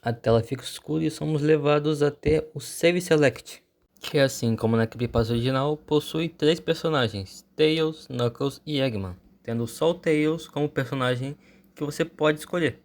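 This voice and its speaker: A man's voice: fairly high pitch, moderate pace. A man with a Brazilian accent telling a story as a channel host, in an informal scripted narration.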